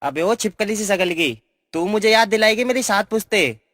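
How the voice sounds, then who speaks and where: A woman doing a gruff, menacing street thug. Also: medium pitch, lively intonation, normal speed, fairly bright tone, no room sound, studio quality, big-room sound, voice pushed down. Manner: threatening, insulting. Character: rough knife-wielding street thug man.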